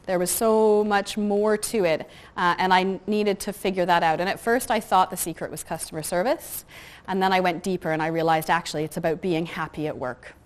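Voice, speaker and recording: Quiet-voiced woman talking at a conference, lots of ums, chatty and friendly. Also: lowish voice, steady tone, brisk. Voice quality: soft, quiet voice. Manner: chatty, frequent ums and uhs, self-deprecating asides. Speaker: conference speaker; head of customer service. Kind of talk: conference talk to a live audience. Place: conference room with audience.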